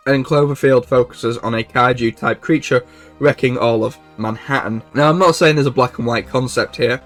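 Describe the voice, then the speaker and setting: A man's voice: mid-range voice, fairly bright tone. A man hosting a video essay, reading informal scripted narration. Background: background music.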